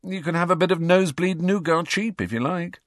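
A man's voice: high-pitched, brisk, no room sound, studio quality, voice pushed up, lightly acted. A man voicing a cheeky, teasing teenage prankster, a breezy older-brother type, in an audiobook.

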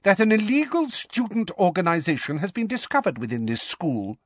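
A man's voice: sits high, wildly swooping pitch, measured pace, fairly bright tone, no echo, studio quality, voice pushed up, full character voice. A man voicing a pompous older male minister in an audiobook, blustering, hearty and patronising. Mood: angry. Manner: hearty, bumbling, patronising, easily angered.

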